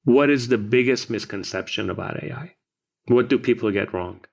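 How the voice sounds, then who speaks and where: A man hosting a podcast interview, asking his questions briskly and in a friendly way. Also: mid-range voice, gravelly. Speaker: podcast co-host.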